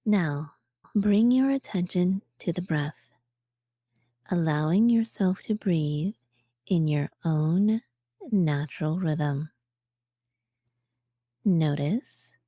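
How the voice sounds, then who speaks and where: A woman narrating a guided meditation for beginners as the guide, speaking calmly and gently, in measured phrases with pauses. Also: lowish voice, no echo, clean studio sound.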